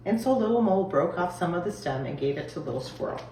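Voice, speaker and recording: A woman reading a children's story aloud in an expressive style, recorded in an echoey room. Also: lowish voice, quick pace. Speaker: storybook reader. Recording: echoey room, distant mic, faint noise.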